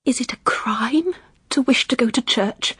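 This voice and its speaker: An actress playing an earnest, reserved young English governess in a period drama, speaking in a restrained, formal, principled way. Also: female voice, on the high side, measured pace, fairly bright tone, breathy edge, no echo, full character voice. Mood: hushed, yearning. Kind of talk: period radio drama acting.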